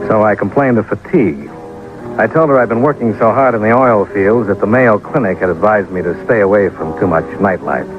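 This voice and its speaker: A man's voice: fairly low pitch, natural voice. A male radio actor playing a hard-boiled insurance investigator, narrating his case in a dry, matter-of-fact way. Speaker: detective narrator. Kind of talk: old-time radio scripted narration. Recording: muffled, noisy old radio recording.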